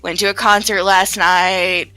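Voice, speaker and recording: Rambling bright-voiced woman, music behind. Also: medium pitch, flat delivery, a little slow, clean tone, low-quality recording. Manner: rambling, self-deprecating, swears casually. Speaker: indie visual novel developer. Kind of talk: casual chat during a game stream.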